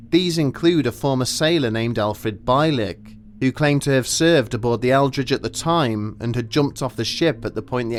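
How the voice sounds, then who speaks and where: A man narrating a scripted documentary story in a measured, grave and formal manner. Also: medium pitch.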